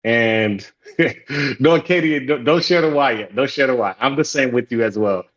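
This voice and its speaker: A man's voice: medium pitch, normal speed, fairly bright tone, highs cut off. Haitian Creole-speaking man hosting a group call. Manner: upbeat, repeats welcomes, chatty asides. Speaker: support group facilitator.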